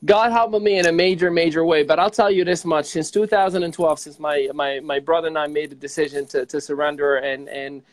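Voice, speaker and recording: Guy in his 30s on a video call, talks fast, lots of ums and you knows. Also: a little high. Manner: fast, energetic storytelling with many fillers. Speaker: guest. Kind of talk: casual interview talk. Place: small room indoors. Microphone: video call webcam.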